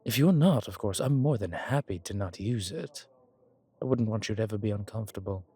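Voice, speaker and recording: A man playing a courteous, centuries-old vampire in an intimate audio roleplay, with refined, measured speech, hesitant pauses and formal, old-fashioned wording. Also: on the low side, animated, dark tone, slightly rough. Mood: tender, courteous.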